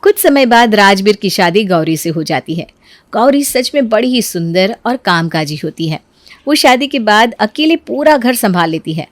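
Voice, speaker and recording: A woman's voice: medium pitch, lively intonation, moderate pace, no echo. A woman narrating a scripted family moral story as a storyteller.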